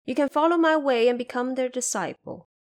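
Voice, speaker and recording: A woman voicing a serene, merciful goddess who speaks formally and with calm authority in an audio drama. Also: high-pitched, voice pushed up, close to an everyday voice. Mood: solemn promise. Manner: formal, measured, assured. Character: serene, merciful female Bodhisattva, authoritative divine being.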